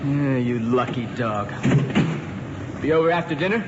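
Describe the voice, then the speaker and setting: A man's voice: medium pitch, animated, unhurried, natural voice. A young man with an American accent playing a wisecracking teenage best pal in a vintage film, full of playful slang. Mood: playful, admiring. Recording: muffled vintage film soundtrack, clear noise.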